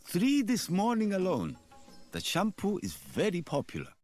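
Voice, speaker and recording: An actor voicing a shifty, secretive merchant in a video game, with a theatrical manner. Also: male voice, sits high, wildly swooping pitch, moderate pace, darkish tone, soft background music, heavily acted. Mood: guarded, boastful. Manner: theatrical, addresses customer as Effendi. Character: shifty, secretive male merchant of caffeine shampoo. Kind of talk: video game character dialogue.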